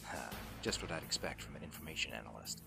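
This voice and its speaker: An actor playing a gruff, world-weary special-forces soldier with a gravelly voice and a terse, sardonic deadpan in a radio drama. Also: male voice, rumbling low, fast, music in the background, exaggerated voice. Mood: grim, controlled. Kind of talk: dubbed video game radio drama acting.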